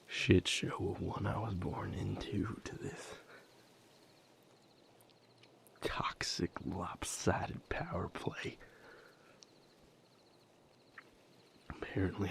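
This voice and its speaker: A man playing a bitter, wounded young man in an intimate audio roleplay, speaking haltingly in a breathy, gravelly murmur with long pauses. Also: low-pitched, little pitch movement, a bit bright, in a whisper, heavily acted. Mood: bitter, weary. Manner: halting, confessional, broken phrases with long pauses. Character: bitter, wounded young man breaking from a manipulative vampire patriarch.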